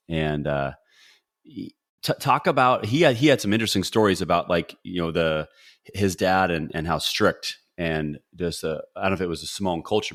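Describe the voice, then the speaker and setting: A man's voice: deep voice, fairly bright tone. A middle-aged man hosting a podcast, interviewing in a casual, rambling way with questions that come in several parts. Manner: rambling, multi-part questions. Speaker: podcast host.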